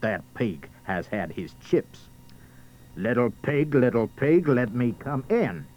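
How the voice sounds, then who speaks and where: A man's voice: medium pitch, big pitch swings, takes it slowly. A man voicing a greedy, boastful big bad wolf, gloating and blustering in a comic storybook tale. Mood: wheedling. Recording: slightly dull, faint noise.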